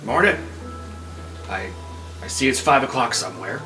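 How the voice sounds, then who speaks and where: A man's voice: mid-range voice, expressive, normal speed, close to an everyday voice. A man playing a blunt, easygoing young student adventurer in a tabletop game, with a casual, dry manner. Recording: music playing behind the voice.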